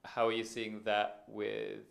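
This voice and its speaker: A man's voice: lowish voice, monotone, slow pace, gravelly. A man in the audience asking a question, hesitant with "um" and "uh".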